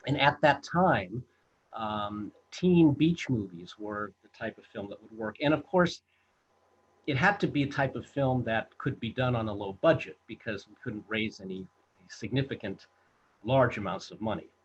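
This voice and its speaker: Older man, husky voice, halting with fillers, muffled lo-fi video call sound. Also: on the low side, lively intonation. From United States. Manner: halting, many fillers and restarts. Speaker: film director and producer, guest. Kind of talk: casual interview storytelling.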